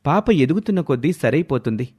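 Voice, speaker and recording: A man's voice: fairly high pitch, animated, darkish tone, husky. A man voicing a frank, reassuring doctor who explains and advises in a moral story. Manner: explanatory, measured advice.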